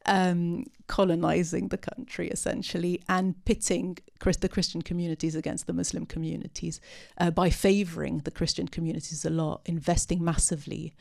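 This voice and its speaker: A woman with a low, gravelly voice talks through a post-film question. She pauses often and restarts her sentences as she thinks aloud. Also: level tone, a little mellow. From part Syrian. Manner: hesitant, many ums and restarts. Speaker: panelist at a post-film discussion. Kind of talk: unscripted talk answering audience questions.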